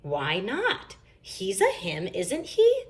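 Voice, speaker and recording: A woman's voice: mid-range voice, huge pitch swings, takes it slowly. A woman voicing a young boy bear cub in a children's storybook, indignant and complaining. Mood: grumbling. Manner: complaining, protesting. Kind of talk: children's storybook read-aloud.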